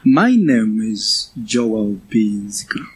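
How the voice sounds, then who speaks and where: A man in his twenties with a Ugandan accent, giving a personal testimony as a guest speaker to a church, in a measured, halting way. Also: fairly low pitch, sing-song, slightly rough. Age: 20s.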